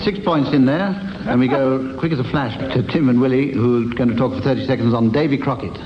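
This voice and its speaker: Deadpan host in an echoey hall. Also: male voice, mid-range voice, brisk, crowd noise. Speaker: panel game host. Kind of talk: dry comedy panel show hosting. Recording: dull roomy old radio broadcast, faint noise.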